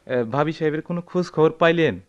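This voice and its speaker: An actor playing an anxious, deferential village peacemaker with a rural Bangladeshi accent in a radio drama, fussing and pleading. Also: male voice, a little high, quick pace, smooth, dull audio, natural voice. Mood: placating.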